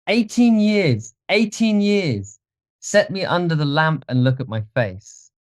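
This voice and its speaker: A man voicing a proud, haunted gentleman in an audiobook, agitated and curt. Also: mid-range voice, sing-song, moderate pace, no room sound, voice pushed up. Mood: desperate, urgent. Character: haunted, youthful-sounding gentleman, proud, easily panicked. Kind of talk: dramatised audiobook character reading.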